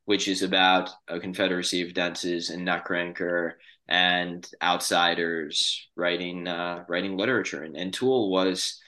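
A man co-hosting a podcast in casual chat, halting and often repeating words. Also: deep voice, level tone, sharp tone. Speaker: podcast co-host.

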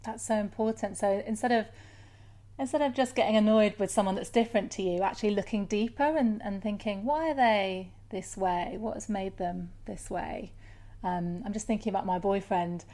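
A woman's voice: medium pitch, moderate pace. A woman hosting a podcast interview, affirming her guest and relating his ideas to her own life in a conversational way. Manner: warm, affirming, shares personal examples. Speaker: podcast host.

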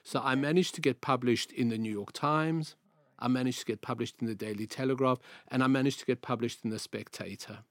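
South African man in his sixties, measured. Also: medium pitch, no echo. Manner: measured, anecdotal, repeats phrases. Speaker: guest, veteran journalist. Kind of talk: interview, storytelling.

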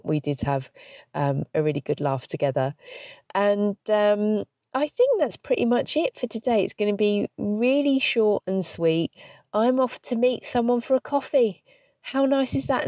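A woman's voice: medium pitch, mellow, dry recording, clean studio sound. A woman hosting her podcast from a parked car, stuffy with hay fever, chatting cheekily and laughing at herself. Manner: chatty, cheeky and self-deprecating, laughing often. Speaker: podcast host. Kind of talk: casual solo podcast chat.

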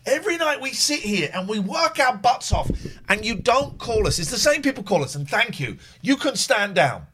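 A man's voice: big pitch swings, normal speed, bright, forceful tone, slight background noise. A man co-hosting a call-in show, bantering playfully with callers while his nose is blocked. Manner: playful, jokey, swings into silly low voices.

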